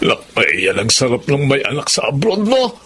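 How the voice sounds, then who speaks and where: Casting: excitable broke gambler pal, creaky and forceful male voice, radio drama, faint noise. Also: mid-range voice, normal speed. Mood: eager, wheedling. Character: excitable, cash-strapped male gambling crony. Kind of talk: Filipino radio drama acting.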